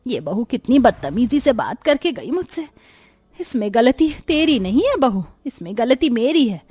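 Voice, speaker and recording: A woman's voice: a little high, very animated, moderate pace, darkish tone, husky, no room sound. A woman voicing a greedy middle-aged mother-in-law in a moral cartoon story, with a melodramatic delivery. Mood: regretful.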